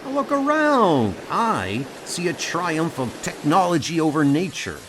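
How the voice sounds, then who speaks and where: A man's voice: on the high side, big pitch swings, slow pace, noisy background, voice pushed up. A man voicing a brash, boastful, dismissive frontier colony boss in an audio comic dub. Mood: defiant.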